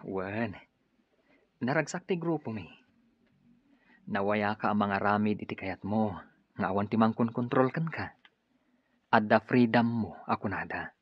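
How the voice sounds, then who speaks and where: Husky low-voiced Filipino smooth talker. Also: male voice, animated, a little slow, pauses often, no echo. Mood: persuasive. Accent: Ilocano. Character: Edgar, smooth-talking male stranger, persuasive recruiter for a group. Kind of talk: Ilocano radio drama acting. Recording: dull lo-fi radio drama recording.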